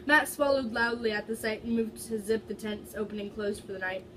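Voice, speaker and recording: A young woman with a fairly high voice reads a story aloud in a dramatic, informal amateur way. She trips over words now and then. The recording is distant, with clear background noise. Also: brisk. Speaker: reader of fan fiction.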